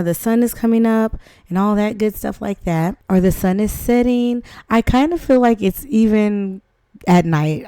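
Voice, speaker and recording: Hoarse young woman, mellow tone, dry close mic; rambling, self-deprecating game tutorial host. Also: lowish voice, no room sound. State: hoarse from flu, voice not back. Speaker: gaming channel host. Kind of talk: casual game tutorial narration.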